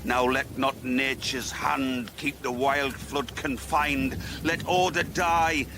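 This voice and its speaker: An actor playing a grieving, enraged old earl in a Shakespearean radio drama, declaiming verse with passion. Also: male voice, mid-range voice, fairly even intonation, background noise. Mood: grieving fury. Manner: declamatory, impassioned, slow verse delivery. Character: grief-stricken, enraged old earl, a sick rebel father. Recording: music behind the voice.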